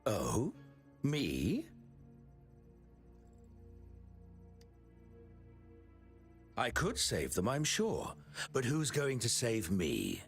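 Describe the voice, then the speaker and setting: An actor playing an obsessive, callous puppet-maker villain in a video game, theatrical, swinging between musing and menace. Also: male voice, medium pitch, huge pitch swings, takes it slowly, creaky, faint music behind, heavily acted. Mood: wounded, brooding.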